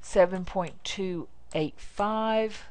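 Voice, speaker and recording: An American woman demonstrating software step by step, speaking informally in short, deliberate instructional phrases. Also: medium pitch, smooth, no echo. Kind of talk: step-by-step screencast tutorial narration.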